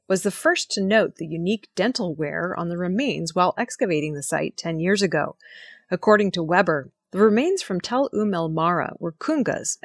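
A woman presenting scripted news stories in a measured, formal reading manner. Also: lowish voice, no echo.

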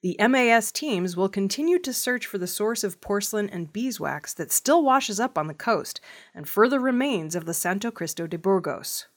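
A woman reads the news from a script as a presenter. Her voice sits at a middle pitch for a woman, and she delivers it as narrated reporting. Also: lively intonation, normal speed. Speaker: news reader.

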